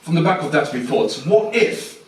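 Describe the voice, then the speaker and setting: A man's voice: a little high, very animated, moderate pace. Chatty Portuguese man in an echoey church. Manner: chatty, self-deprecating, many restarts. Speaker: mission team speaker. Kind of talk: informal talk at a church service. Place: church hall. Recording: echoey room, mic far off.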